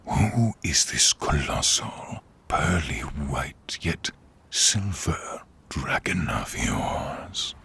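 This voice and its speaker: A man playing a menacing, bitter dark sorcerer king risen from peasantry, with a gravelly half-whispered rasp and grandiose, taunting delivery in a fantasy audio drama. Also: a bass voice, heavily acted. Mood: brooding, proud. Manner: slow, theatrical, taunting delivery. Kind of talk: fantasy audio roleplay acting.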